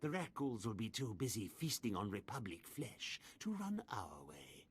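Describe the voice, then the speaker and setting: An actor playing a menacing, imperious military villain in a sci-fi video game, commanding and threatening. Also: male voice, mid-range voice, very animated, normal speed, natural voice. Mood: ruthless satisfaction.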